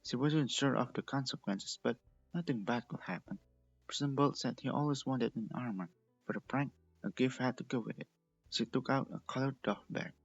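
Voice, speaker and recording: A man's voice: medium pitch, normal speed, creaky, slightly rough, no echo, muffled recording, soft background music. A man reading a story aloud as the narrator. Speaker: fan fiction reader and narrator.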